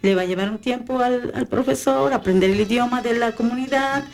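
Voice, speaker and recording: A woman's voice: on the high side, brisk, gravelly. A woman in casual conversation, talking hesitantly with many fillers and restarts.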